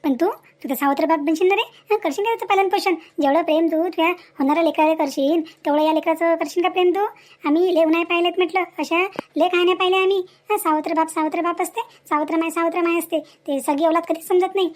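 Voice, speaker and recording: A woman's voice: very high-pitched, fairly even intonation, quick pace. A woman voicing a scolding, motherly village neighbour in a cartoon moral story, with a rural Varhadi Marathi accent and an emphatic, admonishing manner full of rhetorical questions. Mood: indignant scolding. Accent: rural Maharashtra, Varhadi Marathi.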